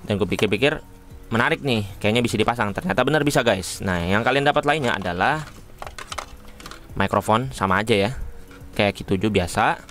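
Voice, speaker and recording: A man's voice: on the low side, animated, fairly quick, pauses often, a bit bright, a little hiss or hum. A man, chatting informally to viewers as he reviews motorcycle gear. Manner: informal, addresses viewers as friends. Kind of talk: casual unboxing and review chat.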